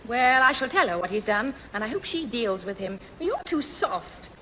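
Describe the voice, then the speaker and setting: A highish, animated young woman's voice, prim and easily outraged. She plays a bossy big sister in an old radio sitcom, heard in a slightly reverberant hall. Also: normal speed, a little hiss or hum. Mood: indignant.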